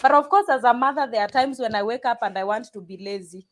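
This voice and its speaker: A woman's voice: a little high, fast talker, fairly bright tone. A woman from Kenya, a pastor talking casually in an interview, often restarting sentences and repeating words. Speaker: pastor and guest.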